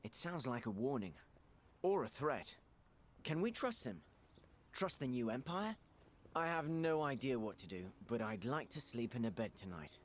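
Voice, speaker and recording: An actor playing a wary, suspicious adventurer in a video game cutscene, questioning in a measured way. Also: male voice, medium pitch, sing-song, slight background noise, natural voice.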